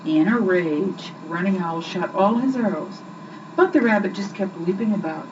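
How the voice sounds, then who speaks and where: A young woman reading a children's story aloud with expressive, scripted narration, on an old amateur recording. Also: lowish voice, normal speed, far from the mic. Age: young adult. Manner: expressive, acts out the dialogue. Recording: muffled, noisy old amateur home recording.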